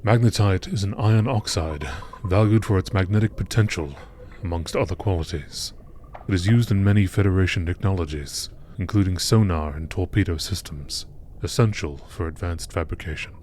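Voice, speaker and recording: A man reading scripted text as a narrator in nature documentary style, with a measured, matter-of-fact, formal delivery. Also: low voice, darkish tone, slight background noise. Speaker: narrator reading out game lore.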